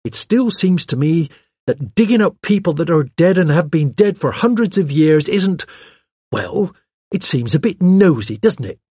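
A man's voice: a little high, a little mellow, husky, dry recording, clean studio sound, voice pushed up, heavily acted. A man voicing a chatty, arch young woman with a gushing, pert, colloquial way of talking, as in an audiobook. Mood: chatty, curious. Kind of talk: audiobook character voice.

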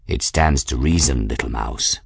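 A man's voice: extremely low pitch, unhurried, creaky, slightly rough, dry recording, studio quality, voice pushed down, heavily acted. A man voicing a haughty, irritable male cat with a smug, condescending drawl in a storybook reading.

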